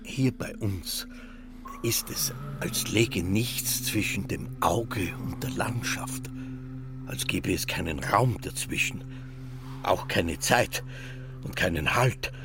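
An actor playing a brooding, obsessive rural inventor in a radio drama monologue, with a halting, poetic, often hushed delivery. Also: male voice, fairly low pitch, animated. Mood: hushed wonder. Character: brooding rural inventor, obsessive dreamer, narrating his landscape. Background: music.

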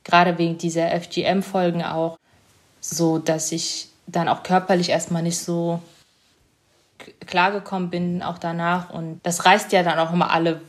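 Hesitant young woman, lowish voice. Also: little pitch movement, moderate pace. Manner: hesitant, searching for words, many filler words. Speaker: author interviewed about her book. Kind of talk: reflective personal interview.

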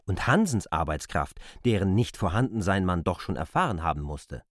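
A man's voice: sits low, big pitch swings, normal speed, dry recording, studio quality. A man playing a shrewd, persistent newcomer in a literary radio drama, probing in formal, argumentative speech. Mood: skeptical. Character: persistent, shrewd male newcomer questioning a landlady.

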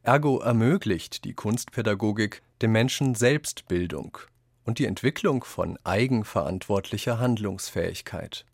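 A man from reads quoted texts for a radio essay, deliberately and with clear articulation. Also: lowish voice, animated, no echo, studio quality. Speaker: reader of quotations in a radio essay. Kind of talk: scripted reading of quoted texts.

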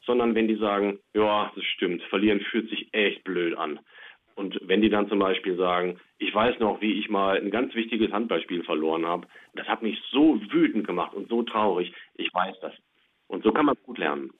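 A man's voice: on the low side, moderate pace. A man speaking over the telephone as an expert guest, explaining things simply and plainly with little spoken examples. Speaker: child psychiatrist, expert guest. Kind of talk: explanatory interview over the phone. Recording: tinny, narrow phone line.

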